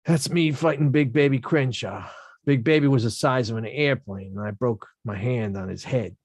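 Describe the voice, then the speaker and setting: A man reading the part of a rough-talking young male boxer at a script table read, slangy and self-mocking. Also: mid-range voice, normal speed, a little mellow, dry recording, close to an everyday voice.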